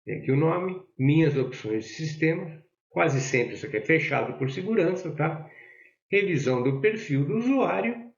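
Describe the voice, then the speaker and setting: Man with a gravelly voice, echoey room. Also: on the high side, animated, moderate pace. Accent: Brazilian Portuguese. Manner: explanatory, keeps checking in with "tá?". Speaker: software instructor. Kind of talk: screen-share software tutorial. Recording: echoey, dull lo-fi audio.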